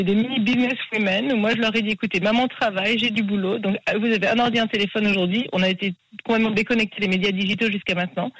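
A woman's voice: medium pitch, no room sound, poor audio. A woman in her forties from France, talking at length and with enthusiasm as an interview guest. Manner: talkative and enthusiastic. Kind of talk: interview conversation.

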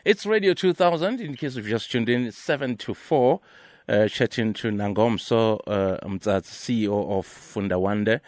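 A man hosting a radio interview, giving short acknowledgements and a measured station identification. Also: on the low side, a little mellow, no room sound. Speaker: radio host. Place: radio studio.

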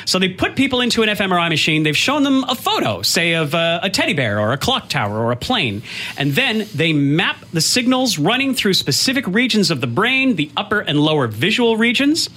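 Bright, high-pitched animated man presenting tech news. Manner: animated, enthusiastic, quick to laugh. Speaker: radio segment presenter on technology news. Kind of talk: lively explanatory chat.

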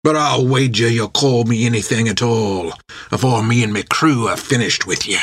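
Man doing a jeering, rough old-salt first mate. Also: mid-range voice, normal speed, a bit bright, dry recording, clean studio sound. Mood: sly taunting.

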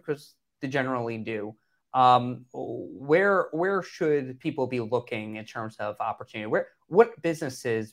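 Co-host: man, mid pitch, hesitant, thinks aloud while asking. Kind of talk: interview question.

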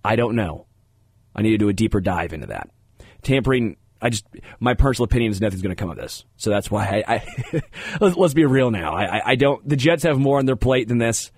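A man's voice: on the low side, lively intonation, a little fast, darkish tone, husky, no room sound. Congested American man hosting sports radio, close and dry. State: slightly congested. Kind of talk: sports talk radio commentary with casual asides.

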